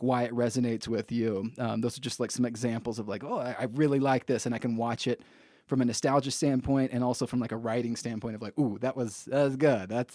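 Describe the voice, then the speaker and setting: A man's voice: medium pitch, a little mellow, dry recording, clean studio sound. A man hosting a casual podcast chat in an agreeable, effusive way. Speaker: podcast host.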